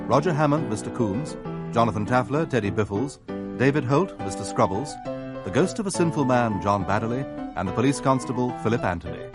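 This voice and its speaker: A man reading formal scripted credits as a radio announcer. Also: mid-range voice. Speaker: continuity announcer. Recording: loud music behind voice.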